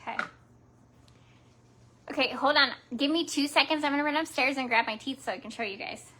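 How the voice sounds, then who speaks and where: A young woman with a high, bright voice talks loosely through a home craft project, narrating each step to viewers. She chats as she works, drifting off and restarting her sentences, and her speech carries a slight lisp. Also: fairly quick, a little hiss or hum. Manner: chatty, talks while working, trails off. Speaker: craft vlogger. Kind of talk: casual live craft chat.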